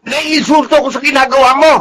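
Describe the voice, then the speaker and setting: A man's voice: extremely high pitch, fairly even intonation, brisk, pushed and bright. A man playing a bullying old drunkard and gambler, a domineering grandfather who scolds his wife in a melodrama. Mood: angry, menacing. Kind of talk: Tagalog radio-style melodrama acting.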